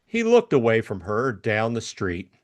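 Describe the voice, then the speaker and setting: A man's voice: fairly low pitch, huge pitch swings, measured pace. A man narrating a classic detective novel and discussing it as co-host. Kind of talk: scripted story narration and book discussion.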